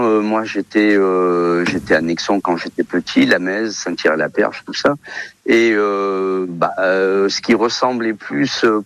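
A man's voice: lowish voice, no echo. A man in his fifties from Portugal, a radio interview guest who talks casually with many hesitations and fillers. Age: 50s. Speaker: interview guest, olive oil seller.

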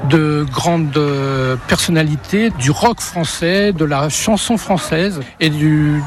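A man in his sixties from the Paris region tells stories of his life in music as a radio interview guest. His voice sits a little high for a man, and he talks in a relaxed way, pausing and restarting often as he searches for his words. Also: a little hiss or hum. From Paris region, France. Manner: hesitant, many pauses and restarts. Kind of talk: relaxed personal storytelling.

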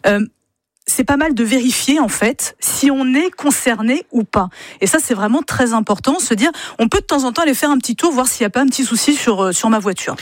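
A woman's voice: a little high, animated, brisk, no room sound. Emphatic woman radio columnist, dry studio sound. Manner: didactic, emphatic, addresses listeners directly. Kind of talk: explanatory radio segment, conversational.

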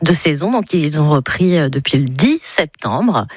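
A woman's voice: sits low, animated, a little slow, slightly rough, no room sound. Female interview guest from the south of France, casual and chatty, recorded close and dry. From Nice, southern France. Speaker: interview guest, stage performer. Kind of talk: casual chat.

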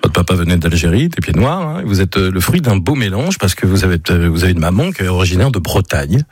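A man hosting a radio interview, lively and expressive as he introduces his guest. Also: low voice, fast talker, slightly rough, no echo, studio quality. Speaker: radio show host.

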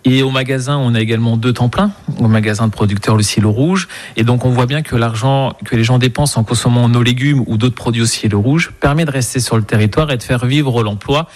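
Man, market gardener, earnest, hesitant, mid-pitched. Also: steady tone, fairly quick, darkish tone. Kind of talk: interview answers.

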